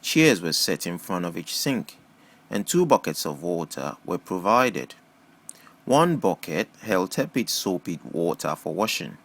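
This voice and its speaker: A man's voice: lowish voice, vocal fry, a bit bright. A man reading a first-person novel aloud as scripted audiobook narration. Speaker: audiobook reader.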